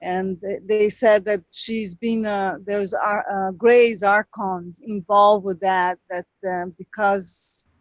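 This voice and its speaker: A woman with a Brazilian Portuguese accent, Portuguese her first language, calling in and speaking halting, emotional English with many pauses. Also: mid-range voice, steady tone, no room sound. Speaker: caller. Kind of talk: call-in conversation. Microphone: video call. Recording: lo-fi video call audio.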